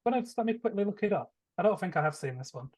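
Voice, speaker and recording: Casting: male co-host, slightly high husky voice, measured casual discussion. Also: sing-song, a little mellow. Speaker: film podcast co-host.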